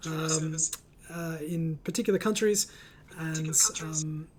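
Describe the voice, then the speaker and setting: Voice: medium pitch, steady tone, slow, a crowd in the background. A host of a casual online group chat, reading out comments and speaking in an encouraging, reassuring way over a voice call.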